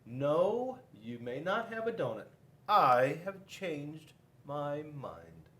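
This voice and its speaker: A man voicing a polite but fickle panda in a storybook, making slow, deliberate offers and refusals. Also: a little high, big pitch swings, sharp tone, full character voice. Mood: pondering, firm.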